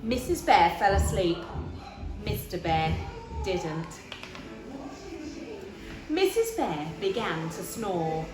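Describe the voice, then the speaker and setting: A woman reading a children's storybook aloud in a deliberate, expressive storytelling manner. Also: on the low side, loud music behind the voice. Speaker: story reader. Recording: echoey room.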